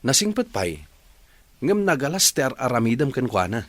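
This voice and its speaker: A man's voice: mid-range voice, big pitch swings, moderate pace, no room sound. A man playing a plain-spoken family friend in a radio drama, giving admonishing, reproachful advice.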